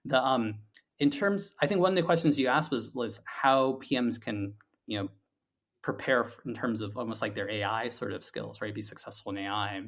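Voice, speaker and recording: A man in a reflective interview conversation, answering as a founder and guest. He explains step by step, often doubling back on words and padding phrases as he thinks aloud. His pitch sits in a middle male range. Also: fairly bright tone. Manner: explanatory, halting with repeated words and hedging "like".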